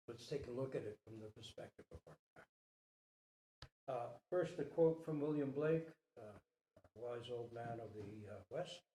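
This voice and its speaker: A man speaking as a storyteller and teacher, with a theatrical, measured delivery. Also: medium pitch, mellow. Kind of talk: dramatic story reading and spoken teaching. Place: talk at a Zen center retreat. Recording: roomy echo, distant microphone.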